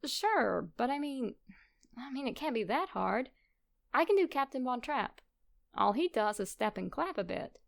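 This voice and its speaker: A woman's voice: sits high, very animated, a little slow, gravelly, dry recording, voice pushed up, full character voice. A woman voicing an eager, smitten young science nerd with a cheeky streak in a story reading. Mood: casual, confident. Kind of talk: fan fiction audio reading.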